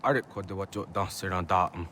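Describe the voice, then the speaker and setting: A man's voice: low-pitched, quick pace, bright, forceful tone, slight background noise. An actor playing a village man in a dramatic scene from a historical video game. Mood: serious.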